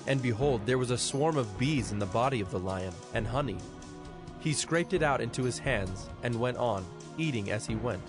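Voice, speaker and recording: Male scripture narrator over music. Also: medium pitch.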